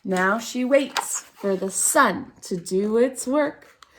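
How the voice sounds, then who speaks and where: A woman reading a children's picture book aloud as a storytime host, expressively, with theatrical, drawn-out emphasis on key words. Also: on the high side, background noise. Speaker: storytime reader at a dance centre.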